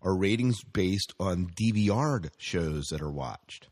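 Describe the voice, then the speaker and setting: A man's voice: deep voice, expressive, slow pace, darkish tone, no room sound, studio quality. A man hosting a casual news chat, speaking conversationally and often putting questions to his co-host. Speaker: podcast host reading entertainment news.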